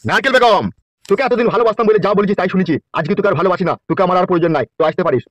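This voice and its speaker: A man as an arrogant high-voiced cartoon politician. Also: racing, slight background noise, natural voice. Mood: contemptuous threatening. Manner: brusque, threatening. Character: arrogant, philandering male politician, crude and dismissive. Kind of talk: amateur Bengali comedy cartoon dialogue.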